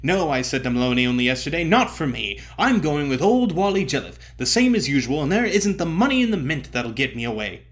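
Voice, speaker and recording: A man's voice: fairly high pitch, very animated, a little fast, hardly any pauses. A man voicing a boastful, chatty stage actor, breezy and self-important, in an audio story. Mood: smug boasting. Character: boastful, chatty male stage actor bragging to his cronies.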